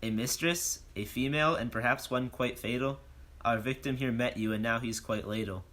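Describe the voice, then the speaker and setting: An actor playing a bold, self-important police detective, declaiming in rhyme in a comic audio drama. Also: male voice, medium pitch, moderate pace, a bit bright, close to an everyday voice. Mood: accusing, boastful. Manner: declamatory, questioning, speaks in rhyme.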